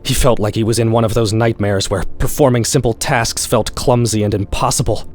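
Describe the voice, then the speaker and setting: A man reading a horror-comedy short story aloud in an expressive, wry, profane style. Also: medium pitch, a little fast. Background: background music.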